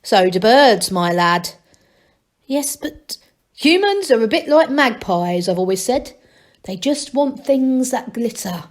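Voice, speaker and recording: A woman's voice: a little high, very animated, slow pace. A woman voicing a stubborn, opinionated old male gnome in a storybook, authoritative, with rustic grammar.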